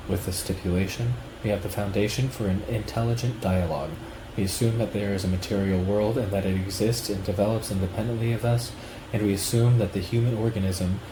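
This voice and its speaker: A man reading a written text aloud at a steady, measured pace. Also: on the low side, echoey room, background noise. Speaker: reader of a political text.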